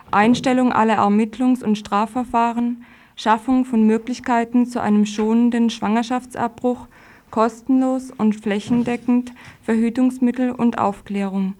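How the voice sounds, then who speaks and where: Woman, news presenter, flat intonation, soft dark timbre, scripted report. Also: a little high.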